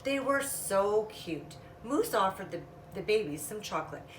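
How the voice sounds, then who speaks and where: A woman's voice: mid-range voice, animated, a little slow. A woman reading a children's story aloud expressively, drawing out sound words and adding casual asides. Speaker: storytime reader. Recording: echoey room, distant mic, faint noise.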